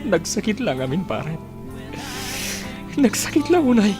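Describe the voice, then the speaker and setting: A man's voice: high voice, sing-song, unhurried, music in the background. An actor playing a heartbroken young man drowning his sorrows, anguished and emotional in a radio drama. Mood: anguished, bitter. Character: heartbroken young man drinking away a betrayed love.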